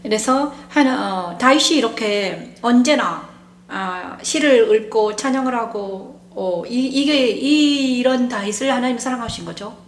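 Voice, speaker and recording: A woman pastor giving an informal devotional explanation of scripture, speaking haltingly with a sore, strained throat. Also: on the high side, a little hiss or hum. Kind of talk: devotional Bible exposition, informal. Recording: roomy echo.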